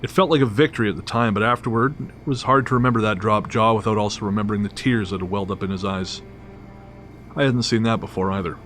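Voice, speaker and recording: Male narrator, lowish voice, wry and matter-of-fact, scripted storytelling over music. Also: fast, fairly bright tone. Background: clearly audible music.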